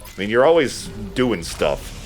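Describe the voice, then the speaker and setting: A man's voice: lowish voice, sing-song, measured pace, soft background music. A man giving casual, explanatory commentary on a video game in a conversational way. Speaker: let's play gamer and commentator.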